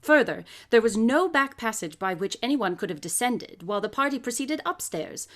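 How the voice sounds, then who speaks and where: An actress in a live radio drama playing a composed woman who reads out evidence in a measured, articulate way. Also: a little high, expressive, close to an everyday voice. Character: woman reading out police evidence, composed, precise. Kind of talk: live radio drama, formal report reading.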